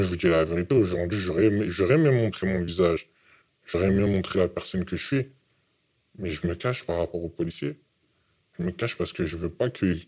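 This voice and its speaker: A young man from France giving a halting, repetitive testimony in an interview. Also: sits low, a little mellow. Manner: halting, repeats words. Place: hidden from camera.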